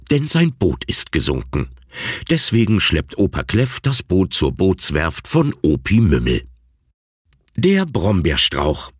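A man's voice: sits low, husky, no echo, studio quality. A man announcing children's audio stories in scripted narration.

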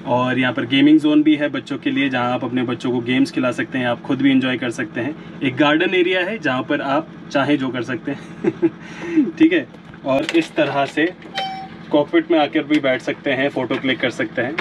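A man in his thirties, chatting casually as a food vlogger in a relaxed way, filmed on a handheld action camera. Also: medium pitch, noisy background. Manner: relaxed and chatty, with filler pauses. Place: airplane-themed restaurant, indoors and outdoors. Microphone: action camera held at arm's length.

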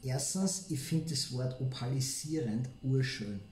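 A man's voice: mid-range voice, unhurried, darkish tone. A man with an Austrian accent, chatting casually as a co-host. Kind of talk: casual chat, commentary. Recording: echoey room, faint background noise.

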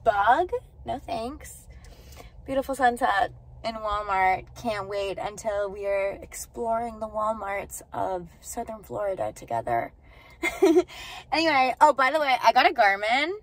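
A woman's voice: mid-range voice, expressive, unhurried, rough recording. A young woman in her twenties, chatting casually and upbeat as a vlogger, filming herself on a phone at arm's length from a car and then outdoors. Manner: chatty, upbeat, lots of "like" fillers. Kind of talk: casual vlog chat.

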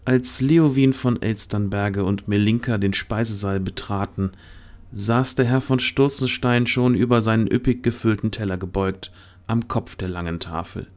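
A man's voice: lowish voice, normal speed, a little mellow. A man narrating a fantasy audio drama as scripted storytelling.

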